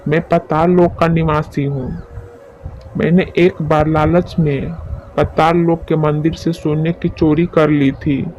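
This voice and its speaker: A man playing a remorseful, solemn half-stone man of the underworld in an animated moral story. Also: a little high, takes it slowly. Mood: solemn confession. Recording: muffled lo-fi, faint noise.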